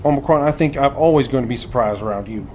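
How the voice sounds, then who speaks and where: Earnest young male recruit man acting, nineteenth-century audio drama. Medium pitch, formal and courteous, faint background noise. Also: fast, a little mellow, close to an everyday voice. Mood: humbled, resolute. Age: 20s. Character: earnest, polite young gentleman recruit in 1871.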